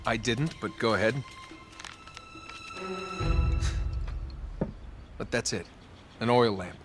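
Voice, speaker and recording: A man's voice: lowish voice, steady tone, normal speed, music in the background, natural voice. A man playing a wisecracking, skeptical American treasure hunter in a video game, speaking in a wry, casual, naturalistic way. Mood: sarcastic.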